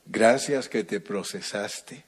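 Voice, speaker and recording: A man's voice: on the low side, vocal fry. A man preaching a sermon at a night church service and then leading a fervent prayer. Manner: slow, emphatic preaching, rising to fervent prayer.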